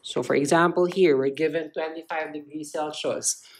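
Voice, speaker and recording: A woman's voice: low voice, fairly even intonation, moderate pace. A woman tutor narrating a step-by-step lesson in simple, explanatory phrasing. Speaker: chemistry tutor.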